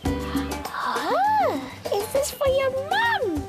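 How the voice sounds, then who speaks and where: An excitable baby creature puppet from a children's TV show. It squeals and cheers at an extremely high pitch. The pitch swoops up and down a lot, and the delivery is very drawn out, with loud music running underneath. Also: extremely slow, exaggerated voice. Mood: excited. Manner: squealing, giggly, bursts of cheering.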